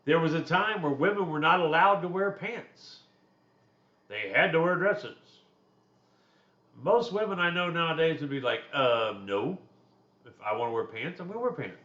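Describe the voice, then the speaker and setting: A man's voice: lively intonation, normal speed, pushed and bright, echoey room, lo-fi sound. A man, giving a recorded class lecture in a loose, conversational way with personal asides. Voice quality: deep voice. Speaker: instructor.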